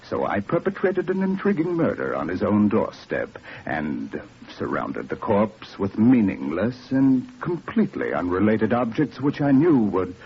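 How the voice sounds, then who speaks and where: An actor playing a smug, cold criminal mastermind, measured and condescending, in a 1940s radio drama. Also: male voice, low voice, vocal fry, full character voice. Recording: dull, noisy old radio recording.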